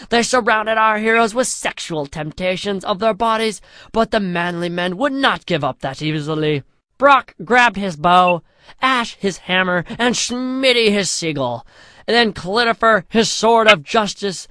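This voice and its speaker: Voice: mid-range voice, fairly bright tone, no room sound. A storyteller with a mid-range voice reads a comic fantasy tale as if it were a grand epic. The delivery is mock-solemn, and the sound is close and dry.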